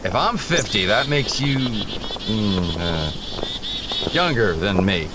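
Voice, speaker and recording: A man's voice: lowish voice, lively intonation, slow, very noisy. An actor playing a joking father in his fifties on a fishing trip, with an easygoing, teasing manner. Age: 50s. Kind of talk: audio drama acting.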